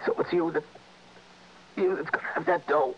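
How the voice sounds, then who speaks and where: A man's voice: fairly high pitch, normal speed, lightly acted. An actor in an old radio drama playing a dying, desperate prisoner who is out of breath, stammering and repeating his words. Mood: urgent, pleading. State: out of breath, gasping and faltering. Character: dying male prisoner, a guilt-ridden safe robber, desperate. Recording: muffled vintage radio recording, faint hiss.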